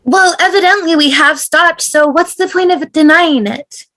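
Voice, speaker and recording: A woman's voice: very high voice, normal speed, sharp tone, low-quality recording. A woman playing a wry, guarded traveller with a dry, understated manner in a sci-fi table read. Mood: brisk.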